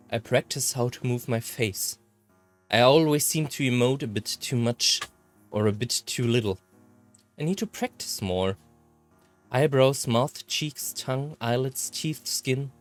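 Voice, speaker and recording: A man reading a visual novel aloud in a halting, slightly theatrical way. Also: mid-range voice. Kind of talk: expressive read-aloud narration of a visual novel.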